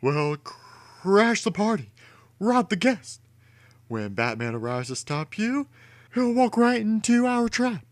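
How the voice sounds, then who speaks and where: Storybook mastermind villain, excitable, high swooping voice, theatrical, drawn-out scheming. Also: male voice, dry recording, full character voice. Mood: gleeful scheming. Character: excitable, scheming male criminal genius.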